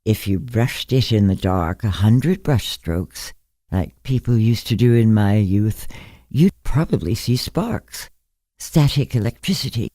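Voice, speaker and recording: A man voicing a frail, blind woman in her nineties in a story, courteous, frank and deliberate. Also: on the low side, expressive, dark tone, no echo. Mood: musing. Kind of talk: storybook character reading.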